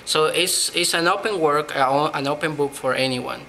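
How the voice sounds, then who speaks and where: A man from Peru with a Peruvian Spanish accent, answering interview questions in earnest, measured English with frequent ums. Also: fairly high pitch, creaky, bright, forceful tone, slight background noise. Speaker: blogger, interview guest.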